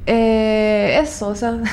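A woman's voice: on the high side, fairly even intonation, extremely slow, a little mellow, a little hiss or hum. A woman from Ecuador's Valle del Chota with an Ecuadorian Spanish accent, talking casually and animatedly as an interview guest. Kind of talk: casual conversational storytelling.

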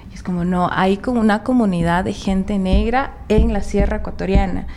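Female interview guest, Ecuadorian accent, medium-pitched, animated conversational storyteller, slight background noise. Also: normal speed. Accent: Ecuadorian Spanish. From Valle del Chota, Ecuador.

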